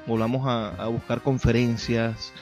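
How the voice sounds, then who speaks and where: A man's voice: medium pitch, little pitch movement, normal speed, a little mellow. A man with a Venezuelan Spanish accent presenting a radio programme conversationally, effusive and sometimes hesitating between phrases. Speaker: radio host, poet. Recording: dull lo-fi sound with music. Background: music.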